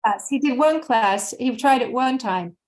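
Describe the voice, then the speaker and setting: A woman with a fairly high voice joins an interview on a video call from a room at home. She chimes in casually, adding her own remarks and asking follow-up questions. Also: animated, a little slow, poor audio. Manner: chatty, adds remarks and follow-ups. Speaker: magazine editor, co-host. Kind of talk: conversational interview.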